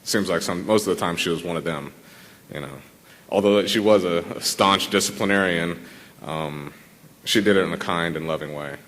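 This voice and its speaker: Low-voiced man in a reverberant hall. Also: moderate pace, a bit bright. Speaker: speaker giving a tribute. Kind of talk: informal memorial tribute. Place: large room full of people at a memorial service.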